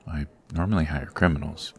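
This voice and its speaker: A man playing a suave, formal supervillain boss in an ASMR roleplay, patient and exacting, with measured, instructive speech. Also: extremely low pitch, fairly even intonation, a little mellow. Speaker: voice actor.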